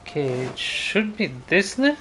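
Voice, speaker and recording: A man's voice: high voice, big pitch swings, measured pace. A man giving casual gameplay commentary as a streamer, musing and thinking aloud to himself. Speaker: game streamer. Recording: game music behind the voice.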